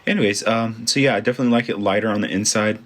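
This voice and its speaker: A man's voice: fairly low pitch, steady tone, very fast. A man with a congested voice, a photographer casually talking through a photo edit step by step and thinking aloud as he works. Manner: thinks aloud while working, informal and chatty. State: congested from allergies. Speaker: photographer teaching photo editing. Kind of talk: casual screen-recorded tutorial.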